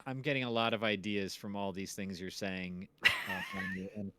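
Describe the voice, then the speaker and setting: A man hosting a casual chat in halting, wandering sentences. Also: fairly low pitch, huge pitch swings, pushed and bright, no room sound. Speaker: show host.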